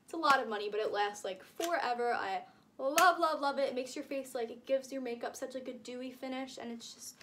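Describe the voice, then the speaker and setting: A woman in her late teens speaks in a fairly high voice, in a casual, rambling vlog style. She gushes and exaggerates as she goes. The recording is homemade, with some noise behind her. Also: fairly quick. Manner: rambling, gushing, uses frequent "like" and hyperbole. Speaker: vlogger showing shopping haul. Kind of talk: casual chatty haul vlog. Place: indoors, small room with curtain backdrop.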